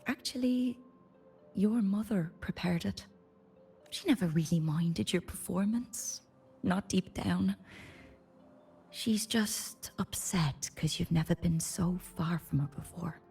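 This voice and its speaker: A woman voicing a caring, motherly character in a video game, gently reassuring. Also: lowish voice, slow pace, gravelly, slightly rough, faint music behind, full character voice.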